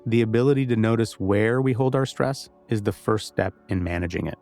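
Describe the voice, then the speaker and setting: A man guides a meditation in a low voice with a noticeable creak. He gives gentle, conversational instructions and leaves pauses between them. Music plays behind him.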